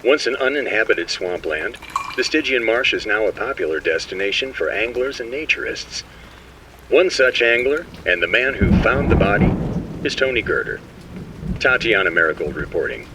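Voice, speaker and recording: A man's voice: fairly low pitch, sing-song, normal speed, distant mic, noisy background. An actor playing a showy local TV news anchorman reading a formal bulletin with grandiose emphasis. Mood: polished, grave. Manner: grandiose, emphatic announcer delivery.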